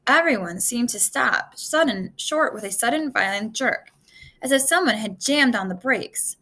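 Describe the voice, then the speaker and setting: A woman reads an adventure story aloud with lots of expression. Her voice is bright and fairly high, and her pitch moves up and down in a lively way. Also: moderate pace. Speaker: storybook reader. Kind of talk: read-aloud narration of a children's adventure novel.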